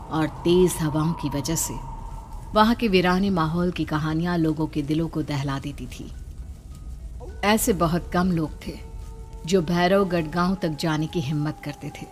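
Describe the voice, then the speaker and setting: A woman's voice: deep voice, fairly even intonation, unhurried, faint music behind. A woman narrating a scripted horror story in a dramatic storytelling style.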